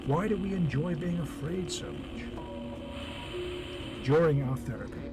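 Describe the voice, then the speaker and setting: An actor playing a thoughtful therapist who muses on fear in scripted game narration. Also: male voice, on the high side, a little slow. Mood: measured, questioning. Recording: loud music behind the voice.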